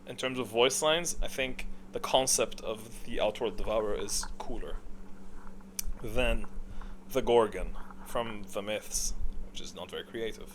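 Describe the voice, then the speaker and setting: A man's voice: fairly low pitch, unhurried, fairly bright tone, slight background noise, low-quality recording. A man giving casual, opinionated tier-list commentary, thinking aloud in a rambling way with gamer slang. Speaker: gaming video creator.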